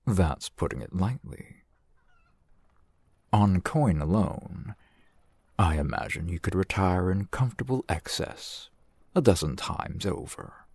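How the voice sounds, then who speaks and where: A man playing a suave, shrewd fantasy shopkeeper in an audio roleplay, speaking in measured, formal, wry phrases with a gravelly, husky voice, close to the mic. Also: low voice, expressive, darkish tone, no echo, exaggerated voice. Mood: wry, unhurried. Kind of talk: fantasy ASMR roleplay acting. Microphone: close microphone, dry sound.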